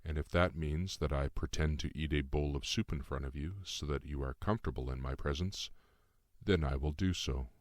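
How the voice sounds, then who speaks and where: Man voicing a deep, measured, cryptic spirit guide. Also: no room sound, clean studio sound. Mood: earnest. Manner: measured, formal, explanatory. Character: calm, cryptic shape-shifting guide spirit in male form. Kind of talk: audiobook character dialogue.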